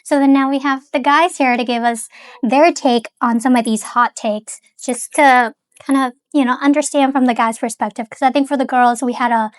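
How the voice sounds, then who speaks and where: High-voiced young woman, podcast co-host. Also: fairly even intonation, normal speed, dry recording, studio quality. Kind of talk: casual chat.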